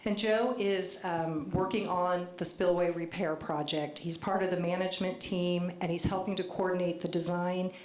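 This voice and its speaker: A soft-spoken woman, a state agency official, presenting at a public community meeting, a little nervous and reading from her notes. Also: on the low side, fairly even intonation, normal speed, few pauses. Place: meeting hall. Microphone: handheld microphone. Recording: echoey hall with faint noise.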